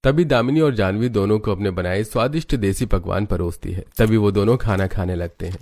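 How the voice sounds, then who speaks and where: Male storyteller, lower-pitched voice, scripted village-tale narration. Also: brisk, a little mellow.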